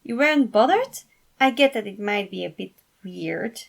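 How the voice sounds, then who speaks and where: A woman's voice: mid-range voice, animated, normal speed, gravelly. Woman playing a sweet, playful male entertainer. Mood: astonished.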